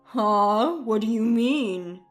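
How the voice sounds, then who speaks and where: A woman's voice: a little high, slow, soft background music. A woman voicing an accusing, defensive husband in a narrated family story, blunt and confrontational. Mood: baffled.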